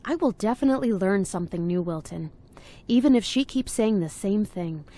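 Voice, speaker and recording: A woman's voice: mid-range voice, animated, normal speed, dark tone, natural voice. An actress playing a diligent, determined woman investigator in a video game, speaking in a formal, methodical way.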